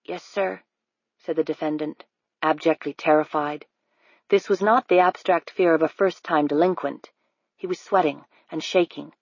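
Low-voiced woman reading a novel, steady and calm, dry muffled sound. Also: normal speed, husky, no echo. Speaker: audiobook narrator. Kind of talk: scripted narration.

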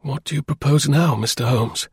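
A man voicing an anxious, earnest young gentleman client in a storybook, polite, deferential and eager. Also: mid-range voice, normal speed, husky, no echo, clean studio sound, pitched above the performer's own voice. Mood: questioning.

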